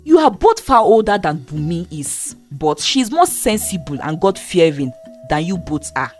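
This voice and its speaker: A woman's voice: fairly low pitch, very animated, moderate pace, faint music behind. A woman voicing a strict, devout middle-aged aunt who scolds the young women of her house. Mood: scolding.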